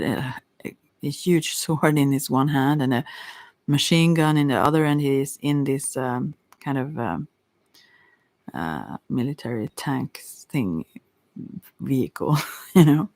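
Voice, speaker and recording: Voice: medium pitch, unhurried, a little mellow, no room sound. Rambling, hesitant tarot reader, dry close sound. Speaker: tarot reader on a live stream. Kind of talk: casual improvised tarot reading chat.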